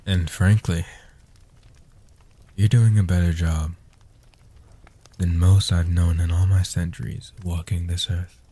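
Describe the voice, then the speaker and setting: A man playing an ancient, protective male vampire in a soft-spoken comfort roleplay, speaking in a breathy, hushed, deliberate and soothing way. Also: low voice, level tone, full character voice. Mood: tender, reassuring. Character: ancient male guardian vampire, protective, tender, world-weary.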